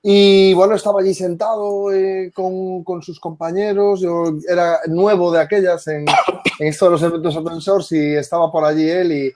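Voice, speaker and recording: A man's voice: sits high, flat delivery, normal speed, a little mellow. A man in his thirties hosting a webinar interview over a video call, asking questions in a friendly conversational way. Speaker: webinar host. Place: indoor office.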